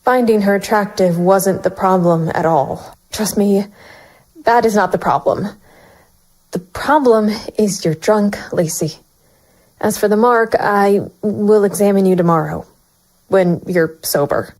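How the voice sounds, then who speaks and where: A woman's voice: medium pitch, unhurried, darkish tone, close to an everyday voice, rough recording. A woman playing a brooding, conflicted private investigator narrating a noir audio drama. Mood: awkward, gentle. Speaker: narrator and lead actor.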